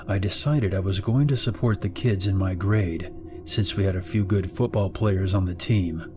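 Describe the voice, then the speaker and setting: A man narrates horror stories in a fairly low voice, keeping a calm, steady pace. Clear background music runs under his narration. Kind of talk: scripted horror story narration.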